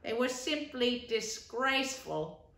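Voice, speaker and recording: Woman reader voicing earnest male rat, firm, raised pitch, roomy recording. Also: big pitch swings, takes it slowly, a bit bright, heavily acted. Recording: roomy echo.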